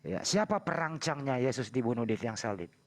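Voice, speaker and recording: A man from South Sulawesi in a religious debate, breaking his questions down slowly and rephrasing them step by step. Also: mid-range voice, expressive. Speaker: debate participant.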